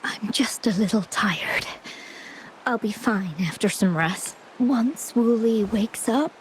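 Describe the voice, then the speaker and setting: Woman acting a slow, frail, gentle young woman. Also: mid-range voice, a little hiss or hum, natural voice, rough recording. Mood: weary, resigned. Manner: hesitant, halting, soft-spoken. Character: frail, gentle ill young woman, former landscape painter. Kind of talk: dramatic video game voice acting.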